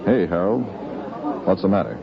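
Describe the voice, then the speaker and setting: An actor playing a stern, world-wise American police chief in a 1950s radio drama, commanding and plain-spoken. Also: male voice, low-pitched, sing-song, moderate pace, creaky, a crowd in the background, full character voice. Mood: brusque. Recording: noisy, muffled 1950s radio recording.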